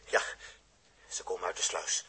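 A man's voice: normal speed, pushed and bright, lightly acted. A man acting an impatient, nervy space crewman in a 1960s radio drama, speaking in an agitated way.

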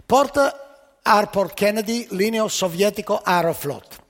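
A man voicing a stubborn older male traveler who speaks slow, broken Italian. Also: high voice, a bit bright, pitched above the performer's own voice. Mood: insistent. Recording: reverberant hall.

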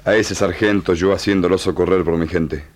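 A low, grave male chief of the pampas, played by an actor for Argentine radio drama. He speaks with an Argentine accent in solemn, broken phrases, dignified and measured. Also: fairly even intonation, close to an everyday voice.

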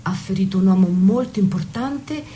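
A woman's voice: mid-range voice, moderate pace, mellow, a little hiss or hum, lightly acted. An actress playing a deferential, anxious lady's maid and dutiful wife in an Italian radio drama, speaking in a guarded way. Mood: deferential, pleading.

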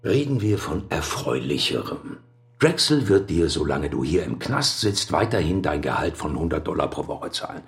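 A man's voice: a bass voice, normal speed, heavily acted. An actor playing a scheming male acquaintance visiting a prisoner in a radio drama, talking in an insinuating, worked-up way. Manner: insinuating, worked up, lively delivery.

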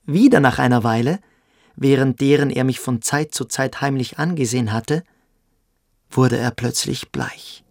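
An actor playing a smug, quietly cruel young boarding-school pupil, telling his story theatrically in a radio play. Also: medium pitch, measured pace, no echo, clean studio sound, lightly acted. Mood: mocking. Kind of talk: dramatic first-person storytelling in a radio play.

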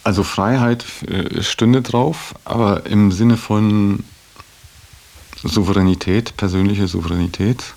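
A man giving a reflective interview answer, deliberate and pausing between key words. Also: fairly low pitch, steady tone, creaky, a little mellow.

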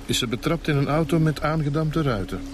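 A man's voice: on the high side, a little fast, natural voice. A man with a Flemish accent playing a cynical, detached, middle-aged husband in a radio crime drama. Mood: sardonic. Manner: sardonic, detached. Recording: music under the dialogue.

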